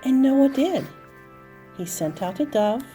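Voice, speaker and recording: A woman telling a story to young children in an expressive, dramatic manner, with emphatic repeated phrases. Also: high voice, slow pace. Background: music and sound effects.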